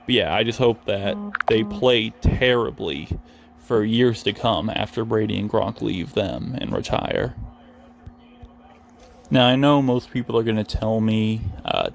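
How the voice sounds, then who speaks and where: A young American man speaks in a fairly monotone voice with plenty of gravelly fry. He rambles casually through solo fan commentary, sounding earnest and reflective. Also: on the low side, slight background noise. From New England, United States. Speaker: Patriots fan commentating over his video game.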